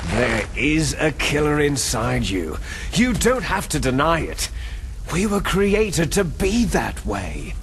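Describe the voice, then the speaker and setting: An actor playing a bitter, gloating villainous clone soldier in a video game, with a menacing, taunting, theatrical delivery. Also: male voice, a little high, wildly swooping pitch, slow pace, vocal fry, a bit bright, slight background noise, exaggerated voice. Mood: taunting, accusing. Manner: menacing, taunting, grandiose monologuing. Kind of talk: dramatic video game cutscene acting.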